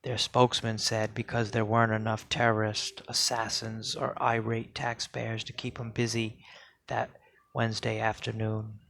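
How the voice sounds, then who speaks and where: A man reads poetry aloud in a solemn spoken-word style, with deliberate, measured phrasing and pauses. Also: lowish voice, completely flat delivery, vocal fry, fairly bright tone. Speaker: poetry reader.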